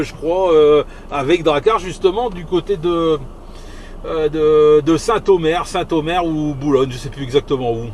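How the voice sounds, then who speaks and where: A man's voice: fairly high pitch, a little mellow. A man chatting casually over radio as he drives, hesitant and rambling, often repeating himself. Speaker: amateur CB radio operator. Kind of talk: casual radio chat while driving. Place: inside a vehicle on the road. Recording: noisy moving vehicle, engine and road noise.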